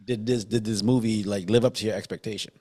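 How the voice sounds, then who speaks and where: A man's voice: fairly low pitch, steady tone, fast, mellow. A man hosting a casual film podcast, steering the chat with friends in a slangy, conversational way. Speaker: podcast host steering the discussion.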